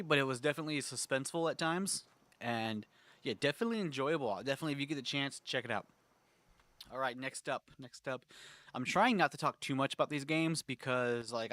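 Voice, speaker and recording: A young man hosts a casual gaming video, his voice stuffy and congested, often stopping to clear his throat. He rambles happily through filler words at a middling pitch. The sound is close and dry, with no room around it. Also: a bit bright, dry recording. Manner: rambling, filler-heavy, enthusiastic. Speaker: gaming channel host. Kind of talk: casual tier-list chat.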